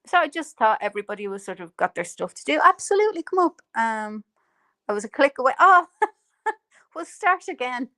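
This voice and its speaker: A woman's voice: high voice, very animated, moderate pace, bright, forceful tone. A woman, hosting a casual live stream chat and filling her talk with ums and laughs. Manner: chatty, lots of fillers and laughs. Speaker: livestream host.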